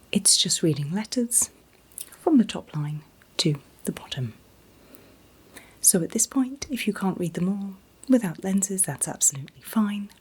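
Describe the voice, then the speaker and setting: A woman in a gentle, soothing ASMR roleplay as an optician, whispering breathily and reassuringly without hurry. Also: on the low side. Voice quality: breathy, mostly unvoiced whisper. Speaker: ASMR performer playing an optician.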